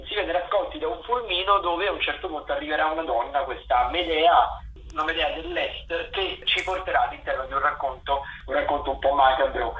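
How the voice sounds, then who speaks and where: A man giving an informal live listings rundown as a theatre critic, often pausing to find his words. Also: a little high. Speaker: theatre critic, regular radio contributor. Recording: dull, echoey distant line, faint noise.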